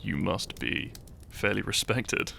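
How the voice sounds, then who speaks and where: A man playing an earnest, shy young hero in a roleplay audio drama, speaking to the listener in a halting, theatrical way. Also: on the low side, gravelly, sharp tone, slight background noise, heavily acted. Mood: fond, conversational. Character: shy young hero, earnest, secretly fond of his foe.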